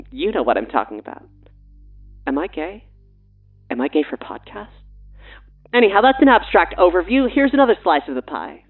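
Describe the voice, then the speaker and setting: A woman's voice: on the low side, big pitch swings, moderate pace, long pauses, slightly rough, phone-quality sound, faint music behind. A woman with a breezy, pushy, playful manner, giving casual promotional banter as a guest on a mock radio show. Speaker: guest showrunner and writer.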